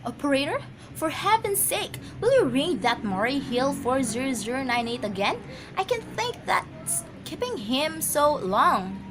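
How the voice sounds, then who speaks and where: A woman's voice: high voice, sing-song, a little slow. A woman acting an anxious, demanding bedridden wife in an amateur radio drama, insistent and agitated. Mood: exasperated panic. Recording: noisy amateur home recording.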